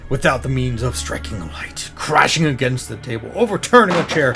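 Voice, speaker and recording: A man reading a horror story aloud in a dramatic, intense style, swelling and dropping to hushed phrases. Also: fairly low pitch. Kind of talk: dramatic scripted audiobook narration of a horror story. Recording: music behind the voice. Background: instrumental music.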